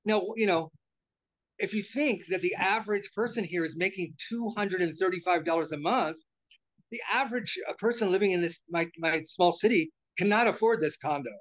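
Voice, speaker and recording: A man's voice: high-pitched, a little fast. An older American man being interviewed, talking casually and rambling, repeating words and restarting sentences as he goes. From United States.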